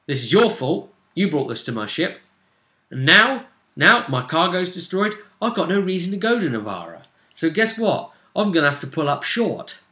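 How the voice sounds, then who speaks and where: An irate, accusing ship captain acted out by a man at the game table, his voice forceful and a little high. The delivery is blunt and the room adds a clear echo. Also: sing-song, moderate pace. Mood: angry, accusing. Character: angry, blaming male ship captain.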